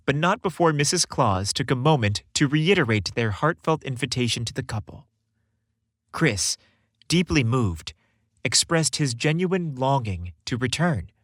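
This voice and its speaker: A man reads scripted stories as a podcast host. His delivery is theatrical and highly animated, with wide swings of pitch. The recording is close and dry. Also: no echo. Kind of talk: scripted storytelling narration.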